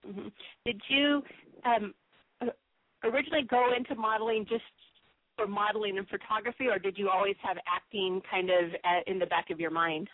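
A woman's voice: mid-range voice, normal speed, no echo, phone-quality sound. A woman hosting a conversational radio interview show.

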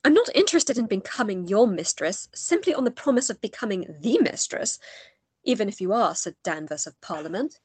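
A woman acting a polite but firm young Victorian gentlewoman in a radio play, with a proper, wry manner. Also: medium pitch, very animated, brisk, slightly rough, no room sound. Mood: wry, coy.

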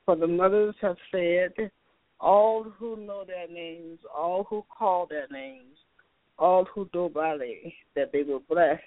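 An older woman, a spiritual elder, leading a slow, solemn, incantatory prayer. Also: on the low side, no echo. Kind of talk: spoken prayer. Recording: dry, tinny phone line.

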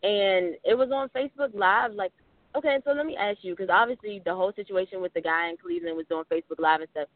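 A man's voice: sits high, quick pace, dry recording. A man co-hosting a casual podcast, chatting in a rambling way with lots of fillers. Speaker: podcast co-host. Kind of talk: casual chat. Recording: tinny phone-like sound, dry.

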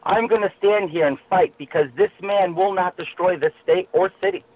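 A man's voice: high-pitched, moderate pace. A man, a political candidate speaking passionately and combatively as a guest on a talk radio show. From Queens, New York. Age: 30s. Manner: impassioned, combative. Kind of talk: talk radio phone interview. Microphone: telephone. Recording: tinny phone line.

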